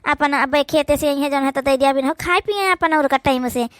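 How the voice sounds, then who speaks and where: A very high-pitched woman's voice with an Awadhi accent, recorded dry and close. She acts out village family drama for a cartoon, complaining in colloquial rural speech. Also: a little fast, dry recording. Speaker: cartoon voice performer.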